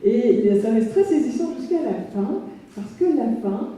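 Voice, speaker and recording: A woman with a mellow, dark-toned voice explains a jury choice, pausing often on fillers. She is heard from afar in a strongly echoing hall. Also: on the high side, animated. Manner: explanatory, with frequent hesitations. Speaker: jury member. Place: large hall. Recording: echoey hall from far off.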